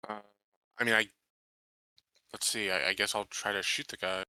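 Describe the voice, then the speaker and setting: A man's voice: fairly low pitch, fairly even intonation, normal speed, sharp tone, smooth, dry recording, studio quality. A man playing a tabletop roleplaying game, talking casually and tentatively as he thinks out his moves.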